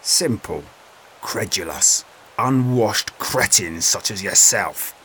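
A man's voice: fairly low pitch, expressive, takes it slowly, a bit bright, a little hiss or hum. A man voicing a scheming, scornful sheriff with mocking, theatrical delivery in an audiobook. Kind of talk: audiobook character voice.

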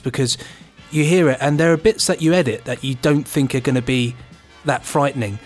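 A man co-hosting a podcast in casual chat, speaking with effusive enthusiasm. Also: medium pitch. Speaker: podcast co-host, audio editor.

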